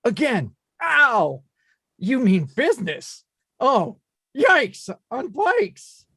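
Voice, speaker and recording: An earnest, chivalrous little repairman from a cartoon video game , acted by a man. He is polite and excitable, with pitch that leaps about. His pace is unhurried and the sound is close and dry. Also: vocal fry, slightly rough, no echo, full character voice. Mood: eager, gallant. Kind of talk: animated film table read.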